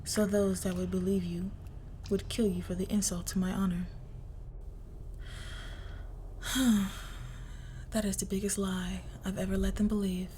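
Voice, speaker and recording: A woman playing a crime boss's cold, menacing young daughter in an intimate audio roleplay, speaking in a hushed, deliberate near-whisper. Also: medium pitch, little pitch movement, a little hiss or hum, lightly acted. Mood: conspiratorial. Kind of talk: intimate scripted audio roleplay, dramatic monologue.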